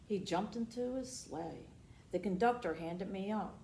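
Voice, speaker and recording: A woman reading a children's picture book aloud as storytime host, expressive and dramatic, pausing for asides and questions to her young listeners. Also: medium pitch. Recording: roomy echo, noticeable background noise.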